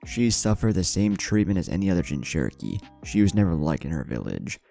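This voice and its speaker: A man's voice: sits low, big pitch swings, fairly quick, creaky, dark tone, exaggerated voice. A man voicing a brash, loyal young male ninja who talks bluntly in a fan story. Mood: casual, frank. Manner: blunt, informal. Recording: background music under voice.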